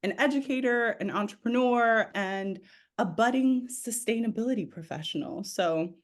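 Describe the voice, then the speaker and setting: A woman's voice: on the high side, normal speed. A young woman from the Bronx speaking casually as a guest on a podcast. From the Bronx, New York, USA. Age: young adult. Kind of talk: casual chat.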